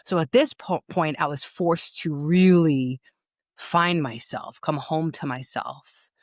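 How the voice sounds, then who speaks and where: A woman's voice: sits low, takes it slowly, no echo. Middle aged lady w new york accent, telling her story on a podcast, candid n emphatic, dry sound. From New York, USA. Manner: candid, emphatic, repeats words for stress. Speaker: podcast guest, loss and empowerment coach. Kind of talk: personal storytelling in a podcast interview.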